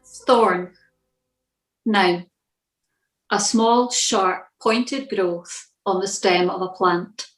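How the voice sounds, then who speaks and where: A woman reads a scripted devotional with a measured, reflective delivery. Her voice sits at a middling pitch, and the recording is noticeably roomy and echoing. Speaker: church devotional reader.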